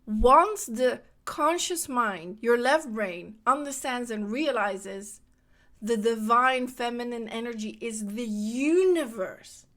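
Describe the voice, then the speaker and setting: Lady podcasting about spirituality, higher voice, lively and repeats herself a lot. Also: slow. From Netherlands. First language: Dutch. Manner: animated, repeats phrases for emphasis. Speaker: podcast host. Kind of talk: casual spiritual monologue.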